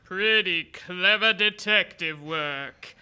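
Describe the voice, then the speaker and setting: A man playing a smug, menacing scam boss in a live 1940s-style radio play, sneering in drawn-out words. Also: sits high, sharp tone, clean tone, exaggerated voice. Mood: smug menace. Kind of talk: 1940s radio villain acting. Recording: live stage recording.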